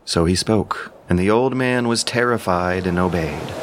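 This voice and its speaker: A man's voice: low-pitched, unhurried, very noisy. A man delivering solemn, declamatory scripted narration of an epic in an audio drama.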